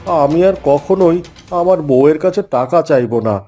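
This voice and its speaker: A selfish, greedy captive in a cartoon tale , voiced by a man. He begs insistently in a lively, rising and falling, slightly high voice over faint music. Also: unhurried. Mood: desperate begging. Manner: insistent, dramatic begging. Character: selfish, greedy man begging for his own release. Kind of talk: cartoon fairy tale acting.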